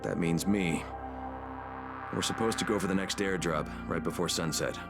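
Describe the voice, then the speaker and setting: A man's voice: sits low, normal speed, loud music behind the voice. A man playing a tough young survivor in a video game, speaking in a firm, terse and insistent way. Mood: wry, flat. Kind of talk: video game cutscene dialogue.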